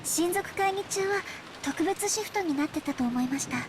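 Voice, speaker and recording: An actress playing a gentle young maid in a visual novel, speaking very politely and deferentially, eager to serve. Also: female voice, very high-pitched, lively intonation, fast talker. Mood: polite, helpful. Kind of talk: anime visual novel voice acting. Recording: clear rain noise over the voice.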